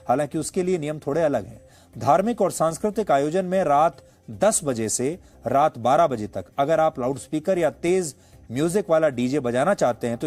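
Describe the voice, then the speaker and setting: A man's voice: fairly high pitch, a little mellow. A man presenting scripted news commentary as an anchor. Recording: studio voice over background music. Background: background music.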